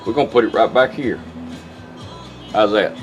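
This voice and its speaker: A man's voice: fairly low pitch, normal speed, highs cut off, faint music behind. A man talking casually and plainly as he demonstrates a product step by step, in a folksy, instructional way. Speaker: car radio restorer demonstrating a product.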